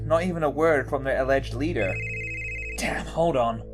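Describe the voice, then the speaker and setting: A man's voice: mid-range voice, moderate pace, music in the background, close to an everyday voice. A man playing a chatty, wry investigator in a fan audio drama. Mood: businesslike. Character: chatty, quippy male investigator.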